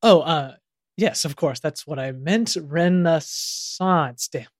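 A man's voice: on the high side, slow, dry recording, clean studio sound. A man playing a hesitant, self-important small-town Z-list actor in a comedy audio drama, often stammering. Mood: earnest, flustered. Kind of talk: improvised-sounding comedic audio drama dialogue.

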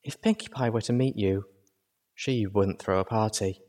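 A man voicing a gentle adult mare healer who speaks slowly, calmly and sadly. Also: lowish voice. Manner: slow, calm and gentle.

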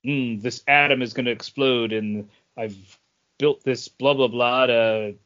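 A man's voice: mid-range voice, steady tone, unhurried, a bit bright, lo-fi sound. A man co-hosting a podcast, chatting casually about geek culture and often searching for his words. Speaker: podcast co-host.

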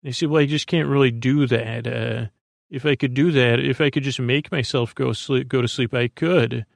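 A man hosting a bedtime podcast in a creaky voice, rambling in a halting, meandering way and often trailing off mid-thought. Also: mid-range voice, fairly even intonation, no echo, clean studio sound. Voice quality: gravelly, creaky. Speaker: host of a bedtime sleep podcast. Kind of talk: rambling, soothing monologue. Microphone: close podcast microphone, dry.

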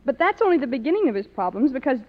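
A woman's voice: high-pitched, very animated, racing. A woman guest on a 1950s radio show, delivering scripted banter and promotion. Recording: muffled old radio recording, hall reverb.

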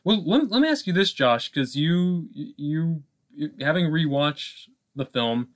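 Higher-voiced man, halting and thoughtful. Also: animated. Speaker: podcast co-host. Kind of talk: casual film commentary.

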